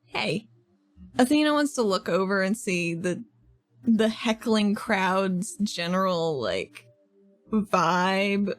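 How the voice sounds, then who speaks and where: A woman playing a tabletop game, describing her character's moves in quick, laughing banter. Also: medium pitch, lively intonation. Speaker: player.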